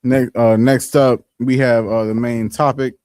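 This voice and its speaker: A man co-hosting a streamed talk show announces the next topic, pausing often and filling gaps. His voice sits at an average pitch for a man. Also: level tone, darkish tone. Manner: halting, filler-heavy. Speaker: co-host introducing topics. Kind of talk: casual talk show chat.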